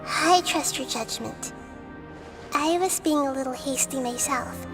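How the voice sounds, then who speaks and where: A woman voicing a small, childlike girl in an anime-style video game, earnest, gentle and excitable. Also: sits high, unhurried. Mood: concerned, gentle. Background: game music.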